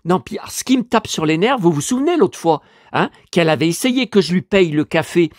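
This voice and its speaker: A man's voice: sits high, lively intonation, fairly quick, no echo, studio quality. A man with a stuffy head cold, rambling through a casual vlog monologue and complaining in exasperation. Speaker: vlogger and collector.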